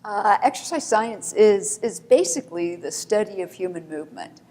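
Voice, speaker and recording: A woman's voice: mid-range voice, animated, normal speed, a little mellow. A woman professor from Colorado explaining her field on a panel, with frequent fillers. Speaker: professor and department chair. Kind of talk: panel discussion. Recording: reverberant hall.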